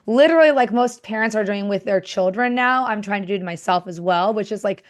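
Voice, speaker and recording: A woman's voice: medium pitch, brisk. A middle-aged woman speaking as a podcast host, chatting informally and thinking aloud as she interviews a guest. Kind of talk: casual conversational interview.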